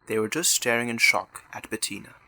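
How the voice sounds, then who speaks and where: A man's voice: medium pitch, brisk, bright, forceful tone, low-quality recording. A man narrating a scripted audio drama in the third person.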